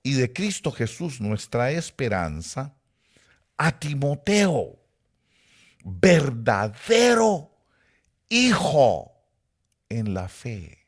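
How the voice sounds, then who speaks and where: A male preacher with a Guatemalan Spanish accent, delivering a sermon in an animated storytelling way. His voice sits at an ordinary middle pitch and jumps up sharply when he gets carried away. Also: extremely slow, pauses often. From Costa Rica. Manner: animated storytelling with sudden rises in pitch and volume. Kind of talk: sermon with personal anecdotes.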